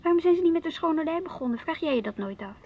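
A woman's voice: very high voice, expressive, fast talker, creaky, highs cut off, heavily acted. An actress playing an inquisitive, earnest wife and mother in a naturalistic radio play, with a Netherlands Dutch accent. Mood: exasperated. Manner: questioning, earnest. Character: inquisitive, reflective wife and mother, easily piqued at her husband. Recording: noisy outdoor street ambience with traffic.

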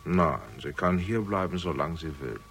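Self-righteous killer, icy composure, curt delivery, very low pitch, husky, 1960s radio thriller. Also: male voice, normal speed, a bit bright, heavily acted. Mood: curt, composed. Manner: cold, curt, unyielding. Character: cold, self-righteous, composed man who has killed. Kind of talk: 1960s German radio crime drama acting.

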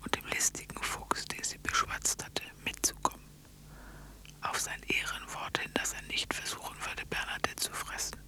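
An actress narrating a surreal radio play as a hushed storyteller, in a breathy whisper. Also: female voice, moderate pace. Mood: hushed, tense. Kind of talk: literary radio drama narration.

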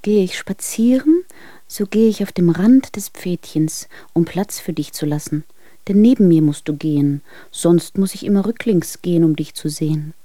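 An actress playing a clinging, lovesick young wife reading her letters in a radio drama, intimate and breathy. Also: fairly low pitch, normal speed, mellow, no echo, natural voice. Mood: yearning, tender.

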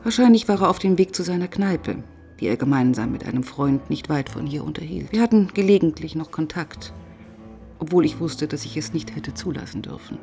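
An actress playing a dry, probing, twice-divorced police inspector in a German radio crime drama, measured and matter-of-fact. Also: female voice, sits low, expressive, creaky, mellow, faint music behind. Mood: reflective narration.

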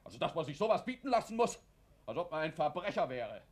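A man's voice: a little high, very animated, fast talker, a little hiss or hum. An actor playing an irritable, self-pitying man with something to hide in a radio play, speaking in an agitated way. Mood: agitated, angry. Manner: agitated, bursting into shouts. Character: irritable, guilty man, cursing and self-pitying, fearful of exposure.

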